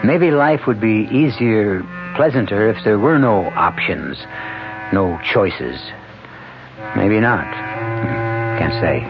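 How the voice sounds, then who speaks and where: A man narrates as host of a 1970s mystery broadcast. His fairly low voice is wry and deliberate. Loud music plays behind him on a muffled recording. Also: animated. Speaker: drama series host. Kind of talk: scripted radio mystery narration.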